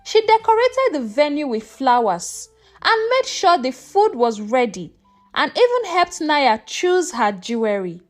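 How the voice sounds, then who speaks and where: A woman reading a scripted folktale as a storyteller, in an expressive, dramatic way. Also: high-pitched, a little slow, faint music behind.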